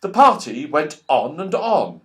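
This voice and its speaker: A man narrating a children's story in an expressive, playful way, exclaiming over events as an audiobook reader. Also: a little high, measured pace.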